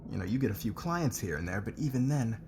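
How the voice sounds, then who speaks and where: Brief: male actor as condescending, commanding boss for ASMR roleplay, low voice with animated swings, noisy ambient recording. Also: quick pace, crowd noise, close to an everyday voice. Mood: scornful, taunting. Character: arrogant, demanding, condescending male company boss.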